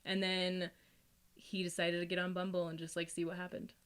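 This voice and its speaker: A woman in a casual podcast chat, talking in an animated, informal way and often acting out little quotes. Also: lowish voice, moderate pace, smooth. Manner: animated, informal, frequent laughing asides and mimicked quotes. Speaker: podcast speaker.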